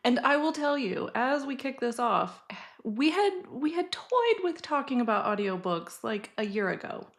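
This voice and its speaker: A woman's voice: on the high side, very animated, unhurried. A woman co-hosting a podcast in a casual, playful, informal chat. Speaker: podcast co-host.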